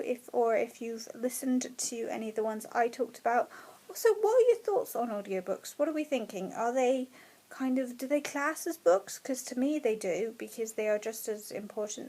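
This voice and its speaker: A woman from the UK talks to her camera in a chatty, excited way, often breaking into laughter. Her voice sits a little high. It is casual, unscripted vlog talk. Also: normal speed. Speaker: book vlogger.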